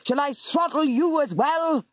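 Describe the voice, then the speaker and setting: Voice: high-pitched, measured pace, no room sound, studio quality, voice pushed up. A narrator voicing a cruel male warlock who growls and snarls his threats. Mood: spiteful.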